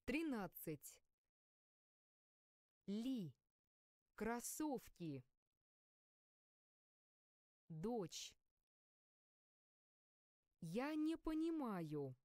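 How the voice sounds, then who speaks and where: A woman reading single vocabulary words and short phrases aloud for a language course, slowly and carefully enunciated. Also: fairly high pitch, very animated, a little mellow, dry recording, clean studio sound. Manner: slow, careful word-by-word enunciation. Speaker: language course audio reader.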